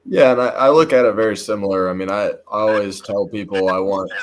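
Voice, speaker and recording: A man with an American accent talking in a sports interview as a high school football coach, earnest and enthusiastic. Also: fast talker, a little hiss or hum. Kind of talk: sports talk show interview.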